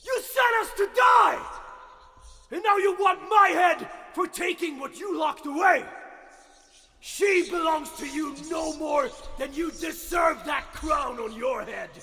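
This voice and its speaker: A man playing a desperate, outraged soldier in a fairytale drama, forceful and indignant, in a reverberant space. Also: very high-pitched, takes it slowly, faint music behind, exaggerated voice. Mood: defiant rage. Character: desperate, defiant, outraged soldier facing arrest. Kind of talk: dramatic fairytale audio acting.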